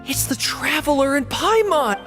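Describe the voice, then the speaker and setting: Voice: high voice, lively intonation, normal speed. An actor voicing a friendly young operative in a video game, frank and earnest, with an encouraging conversational manner. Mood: excited greeting. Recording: faint music behind.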